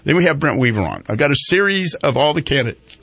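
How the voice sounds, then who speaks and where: A man's voice: a little high, sing-song, fast, vocal fry, a bit bright, husky, no room sound. A man hosting a local morning radio talk show, chatting casually with lots of fillers and restarts. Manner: rambling, with many fillers and restarts. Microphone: studio microphone.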